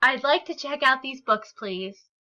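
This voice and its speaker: An earnest young storybook boy played by a woman reading aloud, politely insistent and a little plaintive. The voice is high and bright, with slow, careful delivery. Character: earnest, determined young boy standing his ground.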